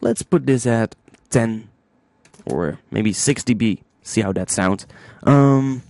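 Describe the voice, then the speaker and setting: A man narrating a music software tutorial step by step, informally thinking aloud into a close microphone. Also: mid-range voice, takes it slowly, no room sound.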